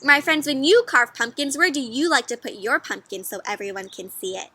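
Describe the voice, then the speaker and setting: Bright, high-voiced woman reading to kids. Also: a little fast, husky. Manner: expressive, encouraging, asks frequent questions to young listeners. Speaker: children's storybook read-aloud host and teacher. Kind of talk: interactive read-aloud for young children.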